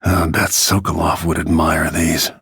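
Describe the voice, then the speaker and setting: A weathered male bodyguard turned assassin for a video game. His voice sits low and is full of gravel, with a heavily husky grain. He speaks tersely, with grim, brooding restraint. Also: flat delivery, measured pace, a bit bright, no room sound, studio quality, full character voice. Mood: grim, controlled.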